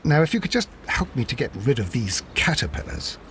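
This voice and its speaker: An actor playing a loyal, wry English companion to a detective in a video game, dry and gently teasing in manner. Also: male voice, mid-range voice, sing-song, brisk, slight background noise. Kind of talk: video game cutscene acting.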